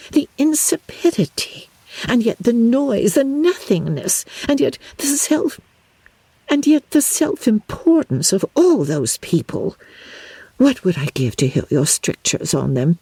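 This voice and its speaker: A woman's voice: fairly high pitch, sing-song, measured pace, dark tone, breathy edge, dry recording, voice pushed up, heavily acted. A woman voicing a snobbish society lady with an affected, gushing, archly mocking manner in an audiobook. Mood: disdainful.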